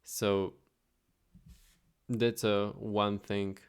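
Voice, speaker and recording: Young male vlogger, low gravelly voice, relaxed chatty delivery, clear tone. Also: very slow. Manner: relaxed, chatty, thinks aloud with fillers. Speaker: automation agency owner vlogging. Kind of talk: casual screen-share vlog.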